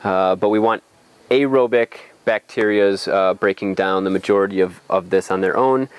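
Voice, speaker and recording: A man's voice: low-pitched, normal speed, clear, resonant tone, no room sound. A young adult man explains things in an informal outdoor tour, thinking aloud with some hesitation, on a lapel mic. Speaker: farm guide. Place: outdoors on a farm.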